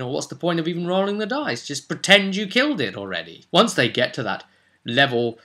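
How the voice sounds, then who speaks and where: Male tutorial host, bright forceful voice at medium pitch, opinionated and conversational, talking through tabletop rules. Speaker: host of a tabletop role-play tutorial series. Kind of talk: informal explanatory monologue with read-out rules.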